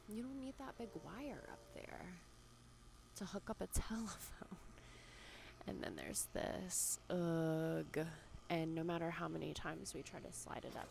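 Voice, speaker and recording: A woman's voice: lowish voice, gravelly, a little mellow, slight background noise. A woman in her thirties giving a casual, sarcastic tour inside a house. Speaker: ASMR video host.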